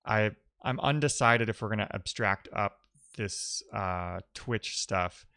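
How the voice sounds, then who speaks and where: A man's voice: fairly low pitch, takes it slowly, sharp tone, dry recording, studio quality. A man hosting a live-coding stream, talking casually and thinking aloud as he works and answers his chat. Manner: informal, reading and answering chat messages aloud. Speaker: live-streaming programmer, host.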